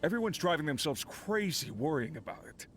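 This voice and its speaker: An actor playing an easygoing but uneasy miner who leads a crew, speaking in an earnest, conversational, explanatory way as video game dialogue. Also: male voice, on the high side, very animated, brisk, noisy background. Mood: uneasy, puzzled. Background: game background music.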